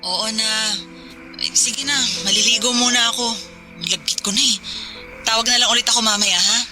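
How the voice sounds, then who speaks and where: A woman playing a calm, sceptical working wife in a radio drama, casual and reassuring in her talk. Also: on the high side, a little fast, music in the background, lightly acted. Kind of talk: radio drama dialogue, phone call scene.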